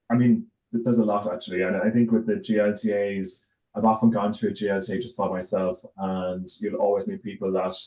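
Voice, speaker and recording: A middle-aged man with an Irish accent, answering casually as an interview guest. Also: fairly low pitch. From Ireland, raised in County Tipperary. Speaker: interview guest, tennis tournament director. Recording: roomy echo, dull lo-fi sound.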